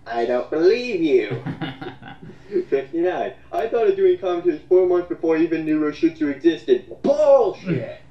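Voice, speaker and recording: A man's voice: a little high, sing-song, moderate pace. A man co-hosting a casual mocking commentary, sarcastic and profane, often exclaiming as he talks. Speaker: co-host reading and mocking online comments. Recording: roomy, distant, slightly dull mic.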